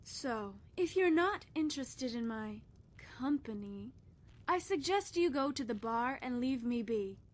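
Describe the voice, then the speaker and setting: A woman's voice: a little high, animated. A woman playing a flirtatious, sly tavern courtesan in a fantasy game, teasing and coy. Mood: coy, dismissive. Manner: teasing, coy, sultry drawn-out endearments. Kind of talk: scripted game character dialogue.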